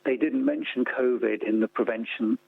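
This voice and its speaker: Brit guy phoning into radio interview, tinny line, raspy voice, measured point by point explaining. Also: mid-range voice. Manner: measured, structured, enumerating points. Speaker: interview guest, report author and organisation founder. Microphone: telephone. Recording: tinny narrow-band phone line.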